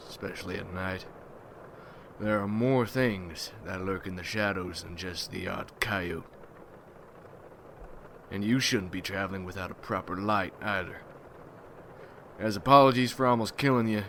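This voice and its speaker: A man acts out a gruff, brooding leader of a vampire hunters' guild in an ASMR roleplay. His voice is low and he takes his time, rough-spoken and folksy, wary and ruthless. Noticeable background ambience runs behind him. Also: noisy background.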